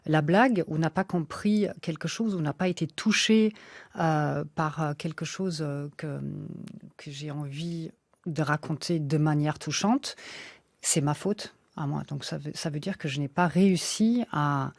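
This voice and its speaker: A woman speaks in a radio interview, her voice pitched low. French is not her first language, and she hesitates often, restarting phrases as she searches for words. The studio sound is dry and close. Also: measured pace, a little mellow, dry recording. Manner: hesitant, many fillers and restarts. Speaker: author and illustrator guest.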